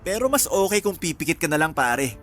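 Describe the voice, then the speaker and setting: An actor playing a playful, joking young Filipino boyfriend with lighthearted delivery in a radio romance drama. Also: male voice, fairly high pitch, very animated, brisk, a bit bright, faint music behind.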